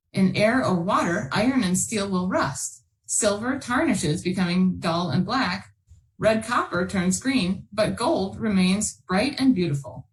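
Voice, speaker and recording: A woman's voice: medium pitch, poor audio. Woman reading science aloud for children. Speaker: children's storytime host and reader.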